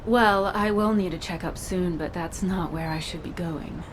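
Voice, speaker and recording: An actress playing a stoic, dutiful cyborg soldier commander, composed and earnest, in a video game. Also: female voice, lowish voice, moderate pace, slight background noise, close to an everyday voice. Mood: resigned, resolute.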